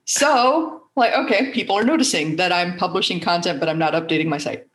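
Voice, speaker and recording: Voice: mid-range voice, a little fast, a bit bright. A podcast host with a soothing voice chatting candidly and animatedly, swearing casually now and then. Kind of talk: casual conversation. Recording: echoey room.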